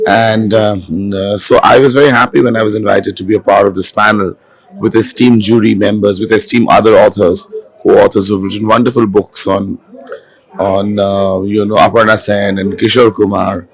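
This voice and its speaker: A hoarse man, an author and film director, speaking in a festival interview with many fillers and restarts. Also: lowish voice, little pitch movement, normal speed, low-quality recording. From India. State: hoarse, has lost his voice. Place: literature festival venue. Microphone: handheld mic.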